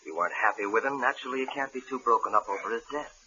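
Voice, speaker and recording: An actor playing a soothing lover in a 1950s radio suspense drama, reassuring. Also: male voice, mid-range voice, very fast, bright, forceful tone. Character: soothing male lover of a new widow. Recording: muffled, noisy old radio recording.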